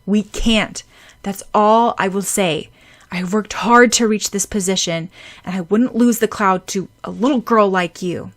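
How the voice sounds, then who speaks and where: A woman's voice: mid-range voice, measured pace, lightly acted. A woman doing a cold, mocking schoolboy. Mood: warning. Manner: sharp-tongued, condescending.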